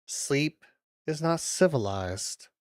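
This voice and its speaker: A streamer talks at an unhurried pace in a very dry recording. The delivery is casual watch-along commentary, moving between deliberate reading aloud and loose, muttered asides. The voice sits at a middling pitch. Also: animated, dry recording. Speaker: streamer reacting to videos.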